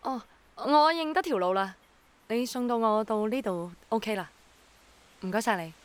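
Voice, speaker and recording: A woman's voice: a little high, a little slow, lightly acted. An actress playing a curious, polite but doubtful young girl in a radio drama, with a Hong Kong accent. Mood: hesitant, doubtful.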